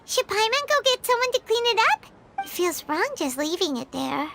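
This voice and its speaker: Squeaky, excitable little fairy companion. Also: female voice, moderate pace, creaky, slight background noise, heavily acted. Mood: indignant, fretful. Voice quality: squeaky, childlike. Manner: refers to self in third person, exclamatory. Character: Paimon, small childlike floating fairy companion, chatty, excitable. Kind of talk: video game voice acting.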